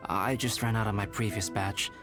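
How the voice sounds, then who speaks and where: A man voicing a calm, composed young male alchemist and painter who explains things in a measured way, in a video game cutscene. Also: on the low side, close to an everyday voice. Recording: game cutscene with background music.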